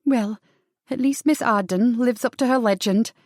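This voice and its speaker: A woman playing a gentleman's lively, curious sister in an audiobook, animated and teasing in manner. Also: fairly high pitch, normal speed, dry recording, studio quality, natural voice. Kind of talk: audiobook character dialogue.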